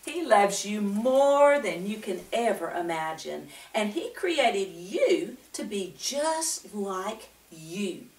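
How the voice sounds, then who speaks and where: An older woman leads story time for young children, addressing them directly and often asking them questions. Her delivery is slow, with roomy pauses between phrases, and her pitch swoops up and down expressively. She sounds some distance from the microphone, with the echo of the room around her. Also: sing-song. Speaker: host of a children's Bible story show.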